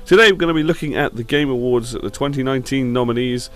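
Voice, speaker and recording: A man hosting a gaming show with casual, blunt opinion commentary, slightly croaky from a sore throat. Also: medium pitch, fast talker, a bit bright.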